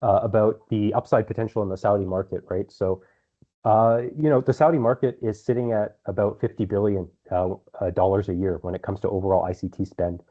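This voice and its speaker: A man speaking as a company executive, answering investor questions on an earnings call in measured phrases with some repeated words. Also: lowish voice, fairly even intonation. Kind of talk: earnings call answers, semi-prepared business talk. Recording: dull, band-limited conference call audio.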